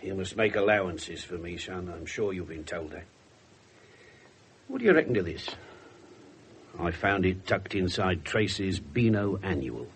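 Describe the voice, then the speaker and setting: An actor playing a middle-aged English police inspector in a 1970s radio drama, gruff, wry and blunt as he questions people. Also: deep voice, a little slow, pauses often. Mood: wry, dry. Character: gruff, callous, wry widowed male police detective. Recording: noisy 1970s radio broadcast recording.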